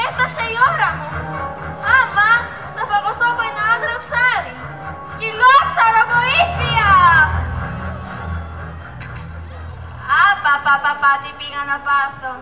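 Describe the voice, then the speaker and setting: A woman's voice: very high voice, animated, sharp tone, faint music behind, full character voice. A teenage girl playing a small, lonely, anxious female sea turtle in an amateur school puppet play, with theatrical pleading. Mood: panicked. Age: young teenager. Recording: echoey hall recording with audience noise.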